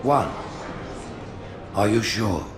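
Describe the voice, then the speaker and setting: An actor playing a stern, calm alien high prophet with a pompous, deliberate, oratorical delivery. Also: male voice, heavily acted. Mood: probing. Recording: music behind the voice.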